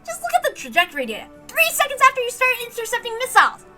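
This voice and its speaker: A woman's voice: extremely high pitch, huge pitch swings, very fast, over music, pitched above the performer's own voice, exaggerated voice. A woman voicing a short, hot-headed young male fighter who boasts and scolds emphatically in an audiobook-style drama reading. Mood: scolding, lecturing.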